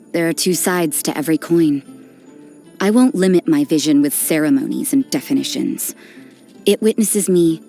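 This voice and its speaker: Casting: cynical young nun for a game, low register, dry, detached, unhurried delivery, set over music. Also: female voice, a little mellow. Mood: detached, musing. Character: aloof, cynical, worldly nun who does dirty work. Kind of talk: video game character voice lines. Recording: background music under the voice.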